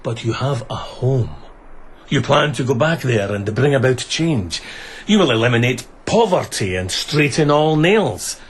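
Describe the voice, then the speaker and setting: A man's voice: medium pitch, animated, a little slow, slight background noise, lightly acted. An actor playing a menacing, mocking bandit swordsman in a radio drama, condescending and mock-courteous. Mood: mocking, suspicious.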